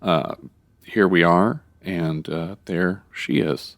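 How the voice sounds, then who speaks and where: A low, creaky voice from an actor playing an unctuous undertaker. The manner is solemn and formal, with lugubrious, hesitant phrasing suited to a comic radio play. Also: fairly even intonation, slow. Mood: awkward, solemn. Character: solemn, unctuous funeral parlor attendant. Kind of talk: comedy audio drama acting.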